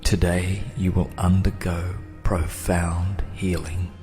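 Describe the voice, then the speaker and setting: A man narrating a scripted guided meditation in a soothing, measured manner, with long pauses and soft, breathy phrase endings. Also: low voice, flat delivery, soft background music.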